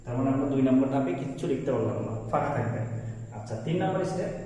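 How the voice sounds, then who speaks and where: A man's voice: medium pitch, lively intonation, rapid-fire, warm tone. A man with a Bangladeshi accent teaching grammar step by step, repeating points and asking questions that he then answers himself. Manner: repetitive, explanatory, posing questions and answering them. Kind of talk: step-by-step instructional lesson. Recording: very echoey room, distant microphone.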